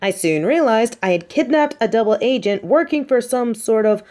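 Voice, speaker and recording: A woman's voice: on the high side, animated, moderate pace, a little mellow, close to an everyday voice. A woman playing a smug, mean but sympathetic supervillain captor in an audio roleplay, talking in a taunting, theatrical, conversational way. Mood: suspicious, musing. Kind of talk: roleplay audio drama monologue.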